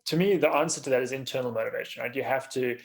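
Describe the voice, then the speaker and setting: Male podcast host, medium pitch with noticeable vocal fry, informal conversational interviewing. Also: rapid-fire.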